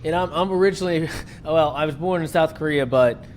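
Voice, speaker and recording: A man's voice: fairly high pitch, brisk. A man from West Virginia hosting a podcast, chatting in an upbeat, friendly, casual way. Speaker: podcast host. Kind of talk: casual pre-interview chat. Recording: steady background noise.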